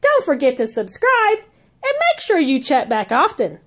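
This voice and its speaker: A woman reading a children's story aloud as a read-along host, expressive and sing-song, with careful enunciation. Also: sits high, normal speed.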